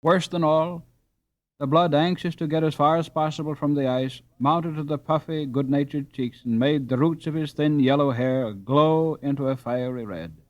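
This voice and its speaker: A man reading a storybook aloud as an audiobook narrator, in an expressive, scripted style. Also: on the high side, normal speed, lo-fi sound.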